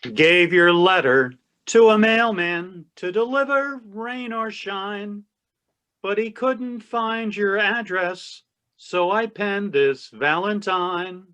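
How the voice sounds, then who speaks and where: A man's voice: high voice, takes it slowly, pushed and bright, clean tone. A man performer reciting rhyming verse in readers theatre.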